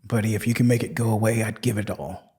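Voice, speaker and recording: A man's voice: on the low side, steady tone, quick pace, darkish tone, close to an everyday voice. An actor playing a guilty, desperate man in an audio drama, blunt and disbelieving, with a pleading edge. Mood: bitter, skeptical. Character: desperate guilty man, skeptical, rough-spoken, cornered.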